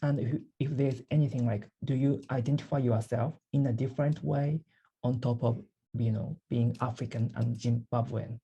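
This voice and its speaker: Polite husky-voiced interviewer from Japan. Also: male voice, mid-range voice, fairly even intonation, moderate pace, dark tone. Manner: polite, thanks often, some stumbles. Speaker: student interviewer. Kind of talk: reading interview questions.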